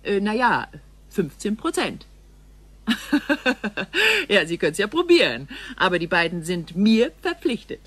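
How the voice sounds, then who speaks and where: An actress playing a blunt, opportunistic woman with a husky voice, talking in a hesitant conversational way in a sci-fi radio drama. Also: medium pitch, sing-song, measured pace, pauses often, a bit bright, dry recording. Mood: scheming, casual. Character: blunt, opportunistic worldly woman, brokering a deal. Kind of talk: science fiction radio drama acting.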